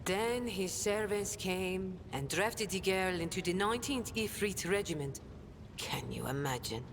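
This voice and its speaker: An actress playing a hardened, defiant lowborn smuggler and ex-soldier in a video game, blunt and sardonic. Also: female voice, expressive, a little slow. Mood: bitter, sardonic. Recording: long reverb, loud rumbling noise behind.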